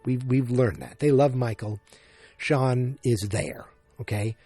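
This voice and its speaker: A man's voice: mid-range voice, slow pace, warm tone, no room sound, faint music behind. A man from Massachusetts with a Boston accent, hosting a solo podcast in a rambling style full of restarts and fillers. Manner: rambling and self-interrupting, with frequent fillers. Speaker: podcast host.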